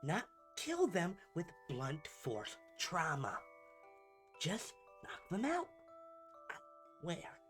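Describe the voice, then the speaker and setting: A man's voice: on the high side, wildly swooping pitch, extremely slow, long pauses, a bit bright, faint music behind, exaggerated voice. A man playing a pompous, melodramatic supervillain in a scripted ASMR roleplay, a bumbling rival who slips between grand speeches and chatty asides. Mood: exasperated, scolding. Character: theatrical, bumbling supervillain, gloating yet secretly caring rival.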